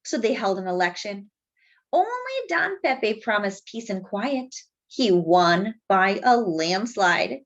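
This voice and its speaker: A woman's voice: mid-range voice, muffled recording. A woman storyteller reading a picture book aloud expressively, stretching key words and chatting to young listeners. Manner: animated, drawn-out emphasis. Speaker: children's storyteller.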